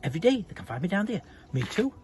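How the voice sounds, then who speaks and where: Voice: mid-range voice, very animated, racing, a little mellow, soft background music, voice pushed up, lightly acted. A storyteller voicing self-righteous townsfolk who gossip indignantly.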